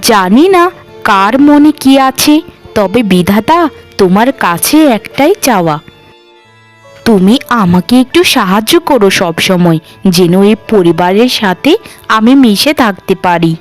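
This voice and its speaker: A woman's voice: mid-range voice, animated, measured pace, soft background music, close to an everyday voice. A woman voicing a meek, sorrowful young new bride in a family cartoon, plaintive and pleading. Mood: weary, plaintive. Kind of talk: Bengali family cartoon dialogue.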